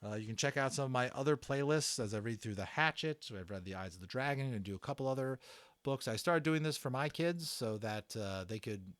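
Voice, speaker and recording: A man's voice: medium pitch, a little fast, fairly bright tone, no room sound. A man narrating a storybook aloud as a dramatic reader. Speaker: audiobook narrator.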